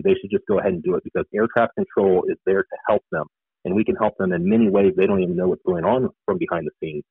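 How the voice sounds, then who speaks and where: Fast-talking low-voiced man on a phone line. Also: vocal fry, husky, no room sound. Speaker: interview guest, author and air traffic controller. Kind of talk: conversational radio interview explanations.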